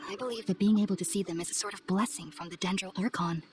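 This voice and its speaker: A woman playing an earnest, polite young scholar in a video game, speaking in a formal, measured, articulate way. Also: mid-range voice, darkish tone, soft background music, lightly acted. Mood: calm confiding. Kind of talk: video game cutscene acting.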